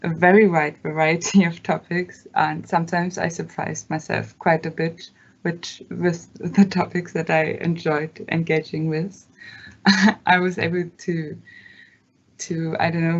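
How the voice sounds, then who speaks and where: A woman's voice: fairly low pitch, little pitch movement, a little slow, lo-fi sound. A young woman from Germany, whose first language is German, talking casually and hesitantly as a student ambassador. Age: young adult. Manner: hesitant, many fillers, laughs between phrases. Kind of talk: casual talk at an online open day.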